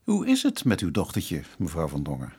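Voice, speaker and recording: A man playing a probing but considerate police inspector in a radio drama, husky and careful with words. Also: low voice, wildly swooping pitch, fairly quick, mellow, heavily acted.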